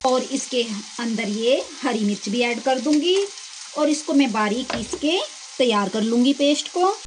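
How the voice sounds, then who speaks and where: Home-cook host, female, higher-pitched, steady step-by-step delivery, kitchen sizzle audible. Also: unhurried. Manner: plain, steady explaining of each step. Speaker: cooking channel host. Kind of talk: step-by-step cooking narration. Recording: clear kitchen cooking noise. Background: sizzling and stirring in a pan.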